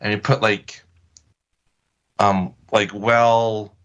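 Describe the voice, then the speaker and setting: A man's voice: on the low side, level tone, very slow, a bit bright. A man speaking as an interview guest, informal and hesitant as he feels for his words. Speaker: podcast guest. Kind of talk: casual interview chat.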